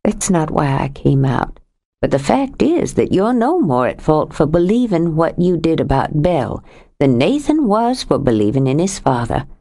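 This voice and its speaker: A woman voicing a steady, plainspoken woman who talks calmly and firmly in an audiobook. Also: deep voice, normal speed, full character voice. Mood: earnest. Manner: calm and firm, gently blunt. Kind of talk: audiobook character reading.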